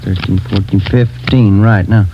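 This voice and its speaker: An easygoing detective partner. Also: male voice, on the low side, steady tone, measured pace, background noise. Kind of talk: 1950s radio drama acting. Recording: dull lo-fi old radio broadcast with loud music.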